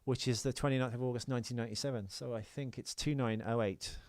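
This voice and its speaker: A man's voice: mid-range voice, steady tone, fast, dry recording, clean studio sound. A British man co-hosting a podcast, explaining things informally and swearing freely in casual conversation. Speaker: podcast co-host.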